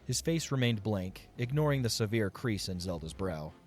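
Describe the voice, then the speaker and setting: Lowish-voiced man narrating a story. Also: lively intonation, moderate pace.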